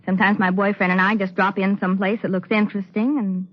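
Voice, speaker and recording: An actress playing a young, frank and chatty office secretary with a taste for thrills, in 1940s radio acting style. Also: female voice, mid-range voice, level tone, fast, natural voice. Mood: chatty, blithe. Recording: dull lo-fi 1940s radio recording.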